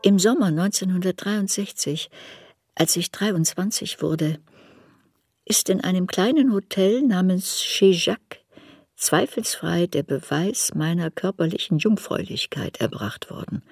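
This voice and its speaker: An actress playing an older woman writer who soberly looks back on her youth in a measured, reflective radio drama narration. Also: lowish voice, animated, a little mellow, breathy edge, dry recording, studio quality, heavily acted. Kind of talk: literary radio drama narration in first person.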